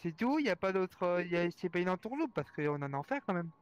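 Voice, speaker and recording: A man plays a wary sinner checking into a demon hotel who keeps asking whether it is a trick. He speaks in a slightly high, doubting voice. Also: big pitch swings, quick pace, lo-fi sound, faint music behind. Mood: doubtful. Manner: doubtful, questioning. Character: suspicious male sinner guest questioning the hotel.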